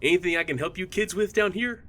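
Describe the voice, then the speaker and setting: A man's voice: sits high, fast, a bit bright. A man playing an eerily cheerful, helpful young best friend in an audio drama, chirpy and overfamiliar. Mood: eager, helpful. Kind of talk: exaggerated audio drama acting.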